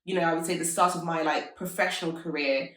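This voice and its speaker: A woman's voice: sits low, fairly even intonation, fast, fairly bright tone. Chatty woman in a roomy space. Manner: chatty, many filler "like"s, upbeat. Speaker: interview guest, fashion entrepreneur. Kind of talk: casual conversational interview. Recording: roomy echo, mic at a distance.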